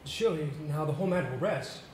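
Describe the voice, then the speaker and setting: A man on stage playing an uneasy gentleman visitor, asking hesitant, stammered questions about a grim tale. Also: on the high side, animated, quick pace, lightly acted. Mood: uneasy, disbelieving. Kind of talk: stage play dialogue. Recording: echoey amateur stage, faint noise.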